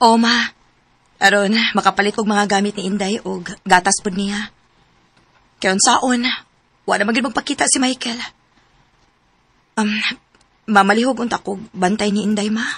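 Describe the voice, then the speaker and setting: A woman's voice: mid-range voice, steady tone, moderate pace, pauses often, fairly bright tone, husky. A woman with a Cebuano Filipino accent playing a long-suffering, pleading young wife and mother in an emotional radio drama. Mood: hopeful, grateful.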